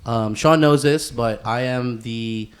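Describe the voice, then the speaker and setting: A man hosts a casual podcast at a middling pitch. He rambles through his thoughts in informal slang and swears freely as he goes. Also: takes it slowly, smooth. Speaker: podcast host.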